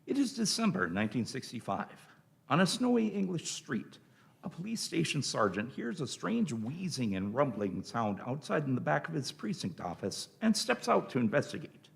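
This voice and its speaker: A man reads dramatic radio play narration with deliberate, theatrical phrasing. His mid-range voice moves animatedly and has a gravelly fry. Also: medium pitch, huge pitch swings.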